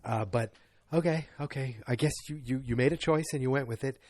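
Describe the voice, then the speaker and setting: A man's voice: medium pitch, brisk, darkish tone, no echo. A man hosting a casual solo movie-review podcast, rambling and sarcastic, often interrupting himself. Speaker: podcast host.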